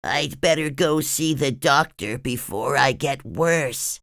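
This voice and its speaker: Woman voicing sick cartoon sponge boy: very high, nasal, stuffy, slow delivery, dry read. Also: gravelly, a bit bright, no echo, exaggerated voice. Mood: sick, pleading. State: sniffly with a cold. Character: cheerful young male sea sponge, eager. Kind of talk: storybook read-aloud.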